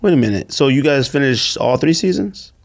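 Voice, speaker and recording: A man's voice: mid-range voice, animated, fairly quick, vocal fry, noisy background. A man hosting a podcast in a casual, slangy, teasing way, like chatting with a friend. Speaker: podcast host. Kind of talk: casual banter.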